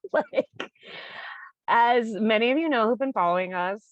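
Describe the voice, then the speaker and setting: A woman's voice: fairly high pitch, slow pace, no echo, dull audio. A woman co-hosting a music reaction video, chatting casually and gushing with delighted groans. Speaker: co-host of a reaction channel.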